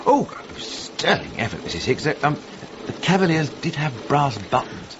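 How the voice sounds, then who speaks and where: Hesitant village gentleman, apologetic, animated, radio comedy, faint background noise, dull audio. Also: fairly high pitch, moderate pace. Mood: encouraging. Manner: hesitant, apologetic, old-fashioned exclamations. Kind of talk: radio comedy drama acting.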